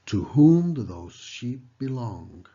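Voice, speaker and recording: A pompous, gracious fairy-tale king, acted by a male reader, declaiming grandly. The words come slowly, with big swings of pitch, on a slightly dull recording. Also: very animated, dark tone. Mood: impressed. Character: pompous, gracious storybook king, easily pleased. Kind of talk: children's storybook character reading.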